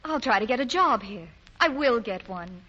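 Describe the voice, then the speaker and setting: Bright-voiced earnest young fiancée. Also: female voice, on the high side, animated, normal speed, slightly rough, exaggerated voice. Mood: concerned. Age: young adult. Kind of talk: 1940s radio acting. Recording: muffled, hissy 1940s radio recording.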